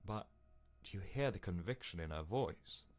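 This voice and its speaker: A man's voice: low voice, lively intonation, normal speed, a little mellow. A man voicing an earnest, doubtful hero's inner voice in a story game, anxious and easily smitten. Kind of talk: game character acting.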